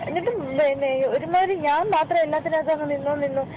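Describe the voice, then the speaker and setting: A woman's voice: high-pitched, fairly even intonation, fast. A woman arguing insistently on a phone call, repeating her phrases in a pleading, complaining way. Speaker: caller. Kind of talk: tense, argumentative phone conversation. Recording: noisy phone call recording, vehicle sounds.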